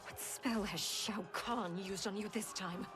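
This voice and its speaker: An actress playing an anguished, righteous warrior princess in a video game, earnest and accusing. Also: female voice, medium pitch, unhurried, lightly acted. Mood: pleading. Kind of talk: video game cutscene acting. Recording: music behind the voice. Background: cinematic score.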